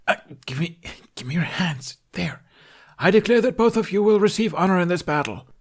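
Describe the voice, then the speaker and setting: Man voicing an exasperated young woman playing king, high-pitched. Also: animated, moderate pace, husky, full character voice. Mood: flustered proclaiming. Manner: strained, declamatory stage delivery. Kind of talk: character voice in fan fiction reading.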